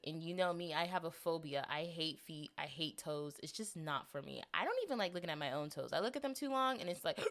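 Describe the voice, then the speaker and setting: A young woman in her twenties hosting a casual solo podcast, chatting and rambling with animated asides, a little congested. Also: low-pitched. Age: 20s. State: slight congestion. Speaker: podcast host.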